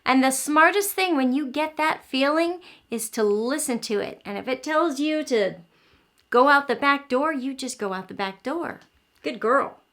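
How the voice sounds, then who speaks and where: A woman hosting a read-aloud for young children, animated and encouraging, explaining simply and asking the listener questions. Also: high-pitched, measured pace. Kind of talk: children's storytime, speaking directly to young listeners.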